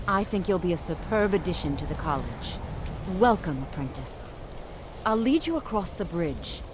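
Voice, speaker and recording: Low-voiced formal woman wizard, noisy ambience. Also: sing-song, moderate pace. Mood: formal, approving. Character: proud female college wizard guiding an apprentice. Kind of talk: fantasy video game dialogue.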